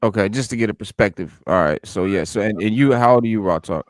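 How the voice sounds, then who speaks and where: Low-voiced man in his forties, dry sound. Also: fast, no room sound. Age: 40s. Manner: emphatic, loose and profane, stammers on restarts. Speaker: podcast co-host. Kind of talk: casual hip-hop chat.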